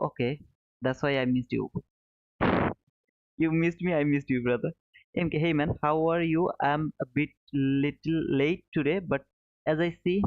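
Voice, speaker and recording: Through a thin, phone-like connection comes a fairly high-voiced man. He chats casually as a stream host, repeating short phrases and addressing viewers like pals. The room adds some echo. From Bangladesh. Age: 30s. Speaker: live trading stream host. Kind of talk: casual chat, answering viewer comments. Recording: narrow phone-like sound, roomy echo.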